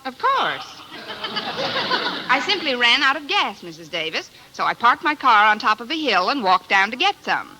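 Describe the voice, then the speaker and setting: An actress playing a wry, sharp-tongued schoolteacher, delivering dry deadpan wisecracks in a 1950s radio comedy. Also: female voice, sits high, a little slow. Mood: wry, exasperated. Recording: noisy old radio recording.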